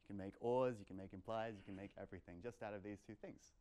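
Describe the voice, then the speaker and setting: A man's voice: fairly low pitch, fairly even intonation, quick pace, dark tone. A young man presenting informally as a lecturer, explaining emphatically and posing rhetorical questions. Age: young adult. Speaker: lecturer at a meetup. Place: lecture room, indoors.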